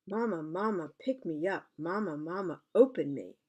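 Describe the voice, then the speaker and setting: A woman voicing a small, headstrong little girl pot who begs in a high sing-song. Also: expressive, moderate pace, mellow, dull audio. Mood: pleading.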